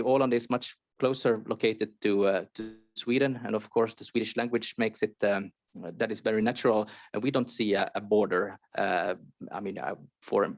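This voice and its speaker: Man on a panel, lowish voice, lots of uhs, calm, dry sound. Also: no echo. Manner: hesitant, many fillers and restarts, explains calmly. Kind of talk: conference panel remarks.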